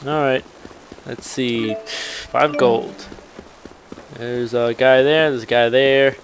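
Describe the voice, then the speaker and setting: A man's voice: mid-range voice, fairly even intonation, takes it slowly, over music, low-quality recording. A man doing casual gameplay commentary, rambling and muttering to himself as he plays. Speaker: gamer, let's player.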